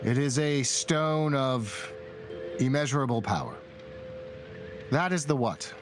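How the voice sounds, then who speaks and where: A man acts out a middle-aged cleric who is dying, in a tabletop fantasy game. He speaks slowly at a middling pitch, weary and earnest, with halting, formal gravity. Music plays behind him. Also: animated.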